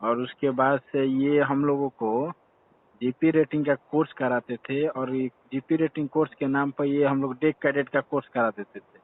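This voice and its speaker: A man's voice: medium pitch, steady tone, moderate pace. Deferential man on a tinny phone line. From Bihar, India. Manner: deferential, says sir constantly, earnest and urgent. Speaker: caller reporting a complaint.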